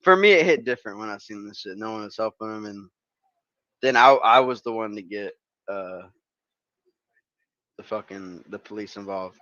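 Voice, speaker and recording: A man's voice: lowish voice, normal speed, long pauses, pushed and bright, no room sound, lo-fi sound. A man from California chatting casually, laid-back and slangy, with sentences that trail off. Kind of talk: casual chat.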